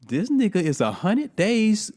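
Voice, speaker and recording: A man co-hosting a casual podcast, talking in an animated, teasing and slangy way. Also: high-pitched, unhurried. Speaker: podcast co-host. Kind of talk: casual chat.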